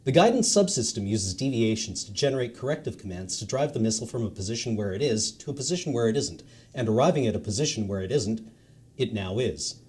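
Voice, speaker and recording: A man playing a dry, matter-of-fact narrator of a technical instructional recording, speaking formally and in measured phrases. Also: lowish voice, expressive, a little mellow, natural voice. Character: dry instructional narrator explaining missile guidance.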